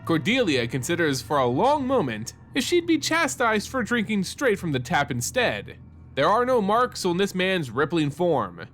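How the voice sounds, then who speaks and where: Guy reading a fantasy story out loud, dramatic, pretty high voice, swings around a lot. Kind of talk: dramatic scripted story narration.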